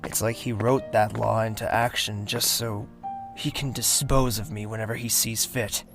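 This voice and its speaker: A man's voice: fairly low pitch, little pitch movement, moderate pace, vocal fry, over music. A man playing an exasperated, lovestruck young nobleman in a fantasy audio drama. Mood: guarded, troubled. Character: young nobleman, exasperated, secretly smitten, fearful of his father.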